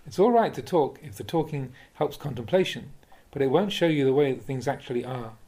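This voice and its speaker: Mellow, expressive man reading Buddhist teachings. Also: mid-range voice, a little fast. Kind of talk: audiobook-style reading of a dharma talk with questions and answers.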